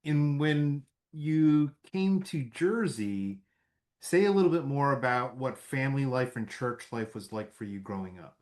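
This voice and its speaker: A man hosting an interview, asking his guest calm, inviting questions. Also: medium pitch, a little slow. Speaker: interviewer, host.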